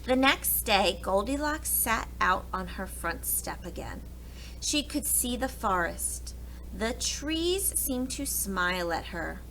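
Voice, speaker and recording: Animated, slow-paced woman reading to children. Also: mid-range voice, a little hiss or hum, rough recording. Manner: dramatic, deliberate phrasing. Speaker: preschool read-aloud storyteller. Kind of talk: expressive children's story narration.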